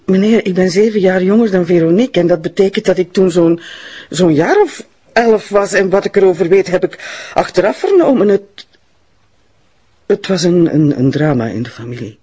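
An actress with a Flemish accent playing a middle-aged woman doctor in a radio drama, polite and guarded, with halting phrasing. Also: fairly low pitch, expressive, dark tone, slightly rough. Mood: hesitant, troubled.

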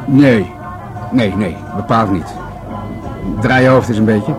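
An actor playing a polite, world-weary police commissioner, formal and a little hesitant, in a Dutch radio play. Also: male voice, on the low side, slow pace, over music. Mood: weary, musing.

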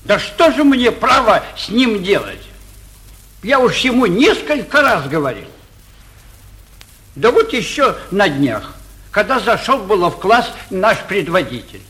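An actor playing a timid, put-upon provincial school inspector, plaintive and fretful, in 1940s radio comedy style. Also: male voice, high voice, a bit bright, heavily acted, low-quality recording.

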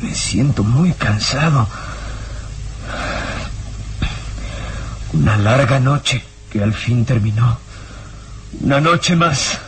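A man's voice: medium pitch, slow pace, close to an everyday voice. An actor playing a confused, weary and frightened man in an old radio serial, faltering as he pleads. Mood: dazed, weary. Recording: faintly noisy old radio recording.

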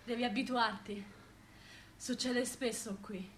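An actress plays a determined worker, defiant and solemn. She declaims poetic stage lines in a fairly high voice over faint ambient noise. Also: fairly even intonation, slow. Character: determined, unfettered worker building a new destiny.

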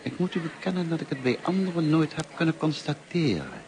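An actor in a Dutch radio play as a kindly, talkative, lonely middle-aged teacher, courteous and musing in manner. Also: male voice, a little high, very animated, fairly quick, a little mellow. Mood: chatty, earnest. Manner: courteous, musing, hesitant and self-effacing. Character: kindly, talkative, lonely grey-haired male French teacher. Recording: noisy old radio play recording.

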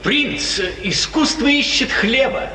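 Elderly proud painter, radio theatre, lively high voice, distant old hall recording. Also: male voice, slow, heavily acted. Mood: dignified, wry. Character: elderly court painter, courteous, quietly proud of art. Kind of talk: 1950s Russian radio theatre acting.

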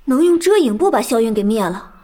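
A woman voicing a curious, chatty young female gamer in an audio drama, who keeps asking quick questions. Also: fairly high pitch, animated, dark tone. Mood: wondering. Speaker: guild team member.

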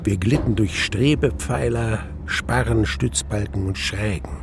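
Low, gravelly, wistful narrator. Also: male voice, background noise. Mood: wistful, tense. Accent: standard German. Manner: measured, literary, reflective. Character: wistful man recounting his past love. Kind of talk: radio play narration.

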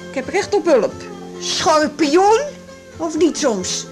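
An actress playing a brazen, mocking alcoholic wife in a radio drama, with a provocative, slurring manner. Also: female voice, high-pitched, very animated, slow pace, soft background music, heavily acted. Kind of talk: Dutch 1980s radio drama acting.

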